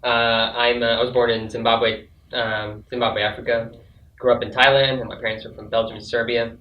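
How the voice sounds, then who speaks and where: A young man, a student, talking in a relaxed, wry way with many fillers. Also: mid-range voice, moderate pace. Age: young adult. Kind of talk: casual panel chat. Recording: echoey, distant, dull-sounding.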